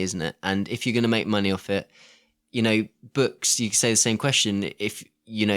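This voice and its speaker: A man co-hosting a film podcast, leading a casual discussion in a conversational, questioning way. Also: fairly low pitch. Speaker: podcast co-host.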